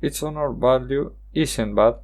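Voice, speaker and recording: A young man from Argentina, a Spanish speaker, hosting a card game review voice-over in careful, halting English. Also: mid-range voice. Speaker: channel host.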